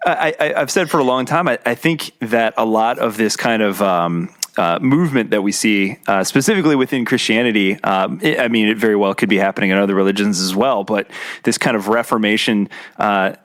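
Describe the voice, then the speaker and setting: A man's voice: lowish voice, a little fast, a bit bright. A man hosting a podcast, talking casually and reacting with enthusiasm, while losing his voice. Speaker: podcast host. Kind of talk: casual conversational interview.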